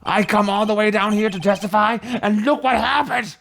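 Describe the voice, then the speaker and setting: A man playing an indignant, sneering male witness in a comic game reading, loud and taunting. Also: high-pitched, steady tone, moderate pace, gravelly, slight background noise. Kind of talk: comic amateur video game dramatic reading.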